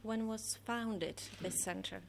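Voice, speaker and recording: A woman's voice: fairly high pitch, slow pace, vocal fry, soft background music. A woman interviewer with a non-native English accent, putting questions to her guests.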